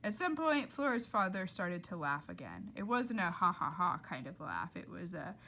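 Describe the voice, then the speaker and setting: Animated woman reading a storybook aloud. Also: lowish voice, moderate pace, vocal fry, slight background noise. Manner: dramatic, with emphatic character voices. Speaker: reader reading a children's novel aloud. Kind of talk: expressive storytelling narration.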